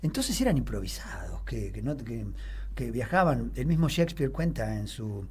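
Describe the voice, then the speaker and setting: Husky Rioplatense man, rambling lecture. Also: mid-range voice, big pitch swings, normal speed, a little mellow. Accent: Rioplatense Spanish. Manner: rambling with many fillers and restarts. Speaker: theater teacher guest. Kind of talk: relaxed radio interview, explaining theater history. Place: radio studio.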